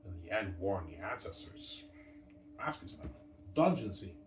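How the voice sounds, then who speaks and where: A man's voice: sits low, sing-song, moderate pace, recorded from a distance. A man in his thirties talking casually and repetitively to his viewers, looping back on the same phrases. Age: 30s. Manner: repetitive, loose, addresses viewers as guys. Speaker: vlogger. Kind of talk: casual rambling monologue. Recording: echoey room, off-mic, background noise.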